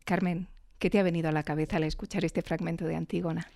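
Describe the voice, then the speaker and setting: A woman's voice: lowish voice, fairly even intonation, fast talker, creaky, darkish tone. A woman with an accent from Spain, presenting and interviewing on a podcast in a conversational, lightly scripted style.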